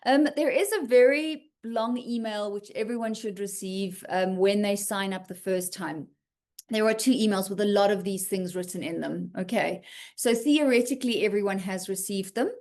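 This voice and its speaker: A woman's voice: medium pitch, moderate pace, a little mellow. Chatty woman hosting a video call. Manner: chatty, reassuring, frequent "um" and "okay?" check-ins. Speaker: host of an online writing feedback session. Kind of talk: casual live chat reading and answering comments.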